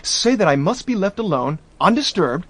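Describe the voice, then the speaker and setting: Brash excitable young diplomat, slightly raised pitch, animated. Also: male voice, normal speed, breathy edge, no echo, full character voice. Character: young American man, brash diplomat nephew. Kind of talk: radio drama acting.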